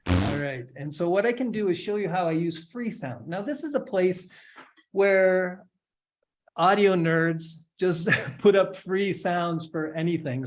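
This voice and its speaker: A man in his forties giving a relaxed, step-by-step software tutorial with light humor. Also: high-pitched. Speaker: instructor giving a software demo. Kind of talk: screen-share tutorial, casual explanation.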